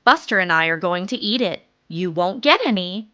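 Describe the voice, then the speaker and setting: A woman's voice: on the low side, expressive, normal speed, pushed and bright, dry recording, highs cut off. Woman playing a bossy, taunting male squirrel. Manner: taunting, domineering.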